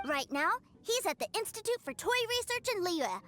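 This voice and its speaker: A woman's voice: very high voice, big pitch swings, normal speed, music in the background. A woman voicing an enthusiastic, innocent little boy who loves toys and likes to boast, in a video game. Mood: curious, chatty. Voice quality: childlike. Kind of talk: video game character acting.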